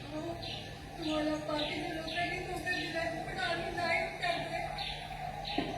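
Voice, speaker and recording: Woman acting a very high-pitched pleading young captive. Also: level tone, a little slow. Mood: earnest, persuading. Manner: pleading, earnest. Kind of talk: amateur stage play acting in Marathi. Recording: very echoey noisy hall, far from mic.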